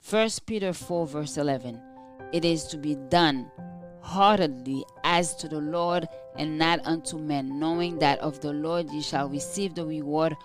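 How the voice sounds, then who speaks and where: A woman reading a scripted devotional in a measured, formal, earnest way. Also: sits low. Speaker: devotional reader. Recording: music playing behind the voice. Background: music.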